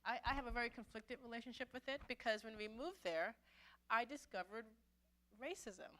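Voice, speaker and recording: A woman's voice: a little high, a little fast, a bit bright. Reflective New woman pastor. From Brooklyn, New York City. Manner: conversational and reflective, many fillers and restarts. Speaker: pastor speaking at an adult forum. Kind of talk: personal testimony talk. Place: church meeting room.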